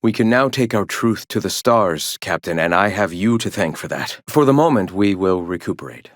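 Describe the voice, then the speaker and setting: Casting: a zealous male sect philosopher for a game, grave and lofty orator, slightly low voice with sweeping intonation. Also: animated, no echo, studio quality. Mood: resolute, rallying. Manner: lofty, preacherly, measured oratory with grave formal diction. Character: zealous male philosopher leader of an anti-corporate rebel sect. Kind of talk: video game character dialogue.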